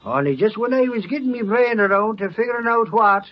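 An actor playing an excitable, nosy, slow-witted male sidekick in 1940s radio drama. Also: high-pitched. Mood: nosy, puzzled. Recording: dull old radio recording.

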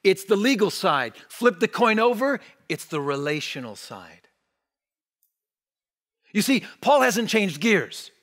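A man in his forties preaching a sermon from a pulpit, animated and emphatic, dropping to near-whispers on key words. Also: high voice, slow pace. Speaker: pastor. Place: church stage behind a wooden pulpit.

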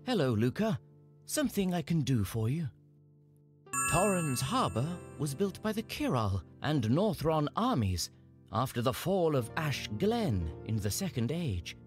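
A man playing a brisk, formal customs official in a fantasy game dub. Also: on the high side, sing-song, soft background music. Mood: brisk, polite. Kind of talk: video game character dub.

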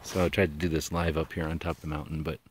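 A relaxed man with a very deep, low voice ambles around his van, pointing things out with plenty of uh fillers. The handheld camera picks him up outdoors, and clear background noise sits under his speech. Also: fast. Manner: relaxed, rambling, frequent uh fillers. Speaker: vlogger. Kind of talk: casual walkaround van tour. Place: outdoors in a mountaintop parking lot beside a van. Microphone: handheld action camera.

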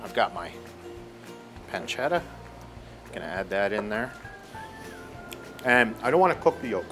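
A middle-aged male chef, talking through a cooking demonstration in a restaurant kitchen in a relaxed, explanatory way with fillers. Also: lowish voice, a little slow, faint music behind.

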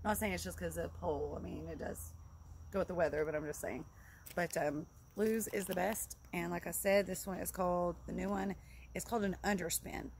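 A woman's voice: lowish voice, fairly even intonation, fairly quick, darkish tone. A woman in her thirties giving a casual, rambling product review to camera outdoors, in an informal way that often repeats itself. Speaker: vlogger reviewing fishing gear. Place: outdoors in a leafy yard by a log building. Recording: clear outdoor background noise.

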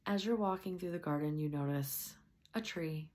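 A woman softly and gently leading a guided meditation, at times slipping into a breathy near-whisper. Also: low voice, normal speed.

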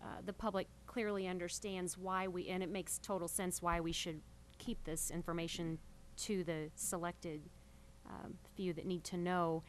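Lower-pitched woman speaking earnestly, faint noise. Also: level tone, moderate pace. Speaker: city council member. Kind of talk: speaking up in a public meeting.

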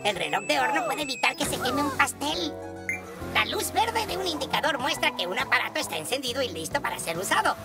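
A woman's voice: high-pitched, big pitch swings, fairly quick, over loud music. A woman voicing an instructive, orderly cartoon teacher who explains things didactically in a children's educational dub. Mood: explanatory.

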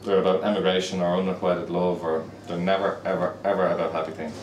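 Deep-voiced Dublin man in a pub. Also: little pitch movement, quick pace, slight background noise. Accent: Dublin Irish. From Ireland. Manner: chatty, rambling, repeats words. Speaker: fiddle player. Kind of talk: casual storytelling between tunes. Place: busy pub, indoors. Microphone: far camera. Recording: echoey pub from far off.

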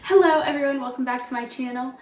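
A woman of 22 hosting a casual Q&A on her vlog, sitting on a living room couch and teasing her mother with quick asides. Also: high-pitched, slight background noise. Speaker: YouTube vlogger, host. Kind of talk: casual Q&A chat. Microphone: camera mic, from a distance. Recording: roomy, a bit distant.

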